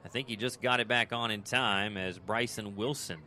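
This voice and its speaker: An American man doing live radio play-by-play of a baseball game, in clipped descriptive phrases that turn conversational between pitches. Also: fairly even intonation, normal speed, background noise. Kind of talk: live sports commentary.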